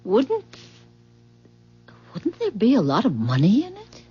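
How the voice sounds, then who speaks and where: Puzzled, plain-spoken wife for old radio drama: highish, husky, very animated pitch, muffled sound. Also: female voice, measured pace, soft background music, heavily acted. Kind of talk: 1970s radio drama acting. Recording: dull, muffled 1970s radio recording.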